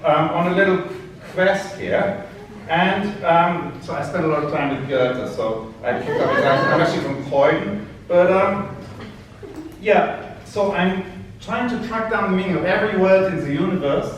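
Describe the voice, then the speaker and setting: A man's voice: sits high, moderate pace, a little hiss or hum, voice pushed up, natural voice. A man playing a rambling male spirit who claims to be the four horsemen of the apocalypse, in a put-on German accent, at a live performance. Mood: playful. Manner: playful, rambling, hesitant. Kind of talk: live performance poetry improv. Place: live arts venue, indoors. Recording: echoey room from far off.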